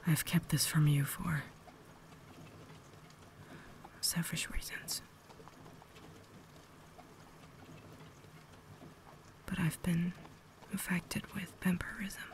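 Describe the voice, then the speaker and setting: A woman's voice: fairly low pitch, fairly even intonation, measured pace, lightly acted. A woman playing a cultured, caring vampire lady in a refined, whispered ASMR roleplay, holding herself back. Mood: apologetic, gentle. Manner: refined, formal, soft-spoken whisper.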